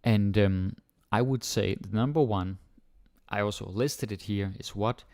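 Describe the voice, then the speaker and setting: Chatty Danish teacher with a lowish voice. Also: male voice, unhurried, a little mellow, dry recording, studio quality. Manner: chatty, full of "like" and "you know", acts out learners' thoughts. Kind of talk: casual spoken lesson to camera.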